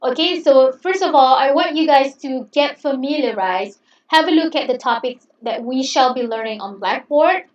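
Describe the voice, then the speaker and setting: Woman teacher, non-native English, fairly high voice, encouraging and chatty, recorded in a roomy space with muffled sound. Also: normal speed. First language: not English. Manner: encouraging, chatty, repeats "okay". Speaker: English lecturer. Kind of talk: online class introduction. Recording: roomy, distant, dull sound.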